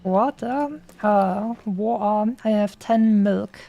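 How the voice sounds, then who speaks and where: Slow, mellow German woman streamer. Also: medium pitch, vocal fry, lo-fi sound. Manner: giggly, playful, lots of fillers. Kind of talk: casual gameplay chat.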